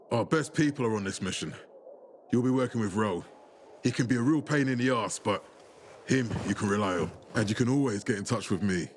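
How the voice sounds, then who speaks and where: A man's voice: mid-range voice, slight background noise, close to an everyday voice. An actor playing a stern, hot-tempered male military commander giving curt orders.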